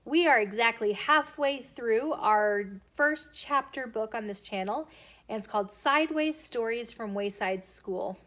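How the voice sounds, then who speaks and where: A woman with a fairly high voice hosts a storytime for children. She reads narration aloud in an expressive, deliberate way, speaking directly to her young audience. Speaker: storytime host reading children's books aloud. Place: filmed in a bookstore.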